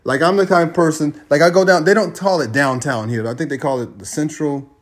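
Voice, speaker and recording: A man's voice: fairly high pitch, brisk. An American man talking in a loose, rambling way, repeating phrases and correcting himself as he goes. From United States. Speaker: traveler sending a voice message. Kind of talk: casual spoken monologue. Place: Australia.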